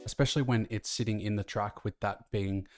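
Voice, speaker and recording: A man's voice: fairly low pitch, fairly even intonation, fairly quick. A man casually explaining music production as a tutor, talking through each step of a tutorial. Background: faint music from the track.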